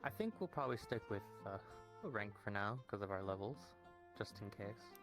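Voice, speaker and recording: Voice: sits low, lively intonation, normal speed, music in the background. A roleplaying newcomer chatting casually in character and asking questions.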